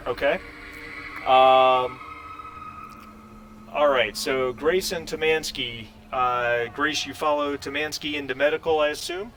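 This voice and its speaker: A man's voice: mid-range voice, fairly even intonation, takes it slowly, long pauses, fairly bright tone. A man acting as game master, talking casually and hesitantly as he explains the rules of a tabletop game over a voice call. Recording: distant mic with faint noise.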